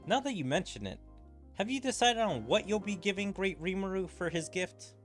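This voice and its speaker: A man reading the lines of a polite young princess, measured and gentle. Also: high voice, lively intonation, faint music behind, voice pushed up.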